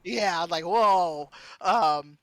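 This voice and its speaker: A woman's voice: fairly low pitch, drawn-out words, crowd noise. A woman from Southern California chatting casually as a streamer on a panel, in an informal, rambling way peppered with "you know". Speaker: visual novel streamer, panelist.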